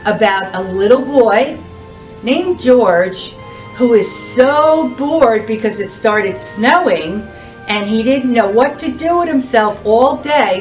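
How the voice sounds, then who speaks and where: A woman hosting a children's storytime, reading aloud and addressing young kids in an expressive, sing-song, deliberate way. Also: medium pitch, distant mic, poor audio. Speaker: library storytime host.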